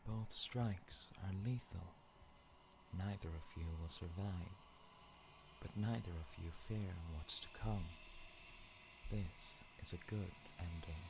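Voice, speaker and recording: A man playing a solemn, grave narrator of a fantasy game in measured, portentous, poetic delivery with a deep gravelly voice. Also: heavily acted. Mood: solemn, intense. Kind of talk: slow dramatic scripted narration. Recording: noticeable background noise.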